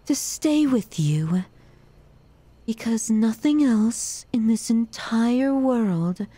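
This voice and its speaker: A woman performs an unhinged yandere woman, obsessive and possessive in her love. Her voice sits high and she draws her words out slowly. The delivery is a halting, emotional and dramatic ASMR roleplay monologue. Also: mellow, no echo, full character voice. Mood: adoring, intense.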